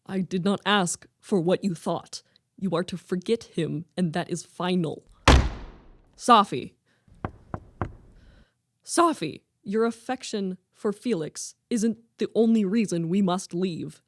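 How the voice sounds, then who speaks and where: A commanding merchant father , acted by a woman, from a radio drama. The voice is fairly low for a female one, formal and emphatic. The recording is close and dry. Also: takes it slowly, no echo. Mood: stern, commanding. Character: proud, commanding merchant father of a grown daughter. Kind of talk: radio play acting.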